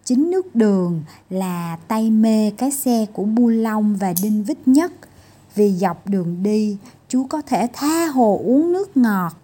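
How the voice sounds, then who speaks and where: Người phụ nữ kể chuyện, giọng hơi khàn, chậm rãi. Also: female voice, medium pitch, dark tone, husky. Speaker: storyteller. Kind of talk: scripted children's fairy-tale narration.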